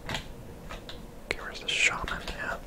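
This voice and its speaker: Whispering ASMR gamer. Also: slow pace. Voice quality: whispered, breathy unvoiced voice. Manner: laid-back, casual. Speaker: host, gamer. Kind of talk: whispered ASMR game commentary.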